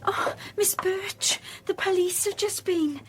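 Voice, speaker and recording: A fretful British office worker, talks in a radio play. Her voice is extremely high and she sounds anxious and flustered. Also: takes it slowly, slight background noise. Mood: distressed. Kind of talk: radio drama acting.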